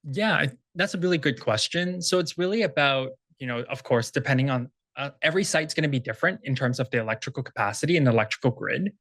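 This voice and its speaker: A man's voice: mid-range voice, animated, quick pace, hardly any pauses, fairly bright tone, no room sound, studio quality. A man at a conference panel giving a long, technical answer to an audience question in fluent run-on sentences. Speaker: startup executive presenting.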